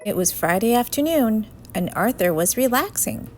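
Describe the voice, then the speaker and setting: A woman reading a children's story aloud in an expressive narrating style. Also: on the high side, moderate pace, soft background music.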